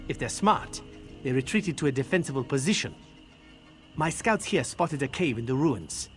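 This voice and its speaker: An actor playing a gruff, sardonic military commander in a fantasy video game, speaking bluntly with folksy humor. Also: male voice, medium pitch, lively intonation, moderate pace, natural voice. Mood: businesslike. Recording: game dialogue over prominent music.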